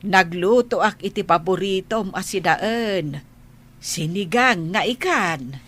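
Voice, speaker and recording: A woman acts out a worried village mother for a radio play. She speaks slowly at a middling pitch, coaxing and pleading with hesitant phrases. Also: a bit bright. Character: worried, nagging village mother fretting over her child. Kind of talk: Ilocano radio drama acting.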